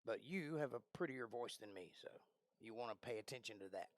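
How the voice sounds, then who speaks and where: A man with a raspy voice that he says he is losing, chatting casually as a livestream host from a small bedroom, often clearing his throat. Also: mid-range voice, animated, fairly quick, dry recording. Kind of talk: casual live-stream chat. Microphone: close desk microphone.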